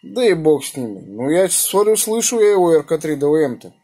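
A man with a slightly husky voice, chatting casually in slangy speech and giving call signs as an amateur radio operator, recorded indoors on a phone. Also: on the high side, very animated, brisk, dark tone, slight background noise. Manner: colloquial, slangy. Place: small room indoors beside radio equipment. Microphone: phone held near the radio.

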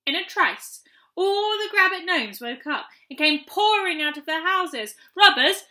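High, bright-voiced woman reading a storybook. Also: animated, measured pace. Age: young adult. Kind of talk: expressive children's storybook reading. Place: small room at home, indoors.

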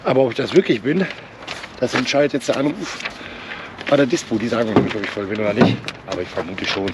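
A hoarse man in his forties with a Ruhr German accent talks through a cold in a loose, rambling way, full of fillers and restarts. His voice sits at an ordinary middle pitch. Loud engine and road noise rumble under him, picked up by an action camera in a truck cab. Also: quick pace. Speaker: truck driver vlogger. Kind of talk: casual vlog chat. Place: truck cab and outdoor yards. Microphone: action camera on dashboard or held at arm's length. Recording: loud vehicle and outdoor noise.

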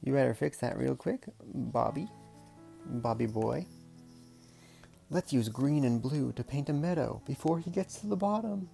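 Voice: medium pitch, lively intonation, a little slow, vocal fry, warm tone, soft background music. A storyteller reading a picture book aloud in a casual, playful way, with chatty murmured asides.

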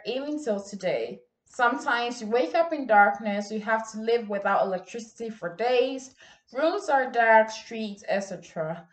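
A teenage girl from Ghana, whose first language is Ga, gives an earnest and measured school speech in a plain indoor room, recorded by a camera set some distance away. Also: fairly high pitch. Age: teens. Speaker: student speech contestant. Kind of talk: memorized bilingual speech. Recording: echoey room.

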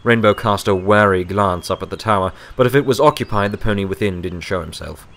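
A man's voice: fairly low pitch, brisk, a bit bright. A man reading scripted fiction as a story narrator.

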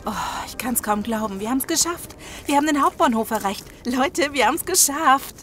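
An actress playing an excitable, observant female survivor in an audio drama. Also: sits high, fairly quick. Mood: relieved excitement. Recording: clear background ambience noise.

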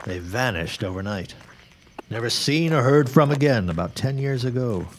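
A man's voice: mid-range voice, lively intonation, normal speed, slight background noise, close to an everyday voice. An actor playing a wary, grave 1910s newsman in a radio drama, speaking in formal, old-fashioned phrases. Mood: grave, foreboding. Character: grim, wary 1910s newsman and worried father. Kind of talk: period radio drama acting.

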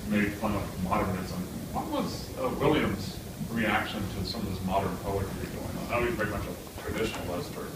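A man's voice: on the low side, big pitch swings, fairly quick. A man in an audience asking an informal question. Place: hotel conference room. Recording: very noisy echoey room, far off.

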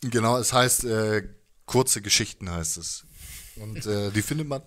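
A man with a slightly low voice talks casually as an interview guest. He is laid-back and colloquial, playing himself down as he speaks. The handheld microphone is held close in a small indoor room. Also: unhurried, a bit bright. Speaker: musician guest.

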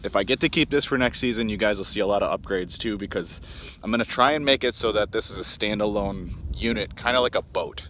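Rambling low-voiced man outdoors on snow. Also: fast talker, a bit bright, a little hiss or hum. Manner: rambling, many ums and restarts, chatty to viewers. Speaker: outdoors channel host. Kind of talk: casual vlog walkaround. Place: outdoors on snowy frozen lake. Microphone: phone at arm's length.

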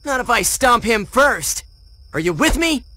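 An actor voicing a brash, excitable teenage boy hero in a video game cutscene, cocky and exclaiming. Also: mid-range voice, a little slow. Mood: determined.

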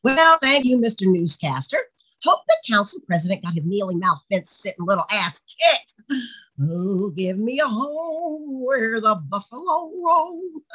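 A woman's voice: a little high, lively intonation, unhurried, fairly bright tone, breathy edge, full character voice, poor audio. A woman playing a chatty, sardonic secretary with folksy endearments in an online play reading. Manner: folksy, sardonic, calls people hon and sweetie. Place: indoors on a video call. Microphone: video call microphone.

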